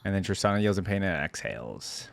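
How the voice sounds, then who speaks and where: A man's voice: low voice, very animated, quick pace, creaky, faint music behind. A man hosting a casual reaction to game voice lines, with an amused, easygoing manner. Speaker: host of a game lore reaction channel.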